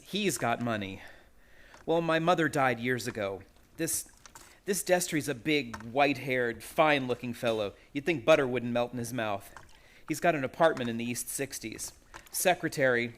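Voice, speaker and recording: A man's voice: mid-range voice, fairly bright tone, natural voice. A man playing an anxious, polite young chemist in a radio play, speaking in a hesitant, tormented way. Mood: weary confiding. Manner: hesitant, nervous, halting.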